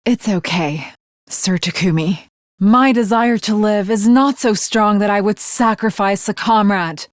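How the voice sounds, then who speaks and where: Female actor for bloodthirsty, honor-bound young samurai girl, anime game. Archaic formal speech, unhurried delivery, very dry close recording. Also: mid-range voice, no echo, natural voice. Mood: solemn, resigned. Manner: archaic, formal, theatrical diction. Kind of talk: anime video game voice acting.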